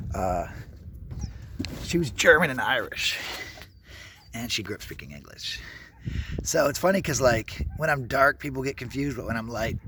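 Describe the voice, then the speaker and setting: A man's voice: medium pitch, noisy background. A man in his thirties vlogging casually outdoors while walking, telling his family story in a candid, rambling way that often corrects itself. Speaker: travel vlogger. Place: outdoors at a skate park. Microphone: handheld camera while walking.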